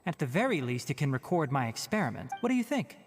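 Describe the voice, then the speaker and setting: A man's voice: fairly high pitch, very animated, quick pace. A man voicing a stern young scholarly forest watcher in a video game, precise, lecturing and dryly impatient. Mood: measured. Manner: precise, lecturing, matter-of-fact. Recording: faint game music behind.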